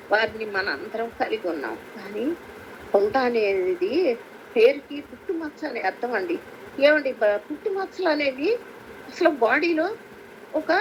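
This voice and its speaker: Female prayer-meeting preacher, Andhra Telugu accent, gravelly edge, halting with interjections, on a faintly noisy conference call. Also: on the high side. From Andhra Pradesh, India.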